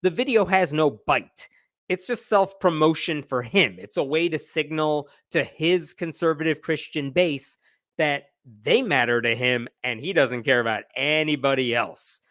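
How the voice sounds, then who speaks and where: A man's voice: fairly high pitch, measured pace, fairly bright tone, no room sound, studio quality. A man hosting a livestream with casual, opinionated news commentary, conversational and emphatic, with a sardonic edge.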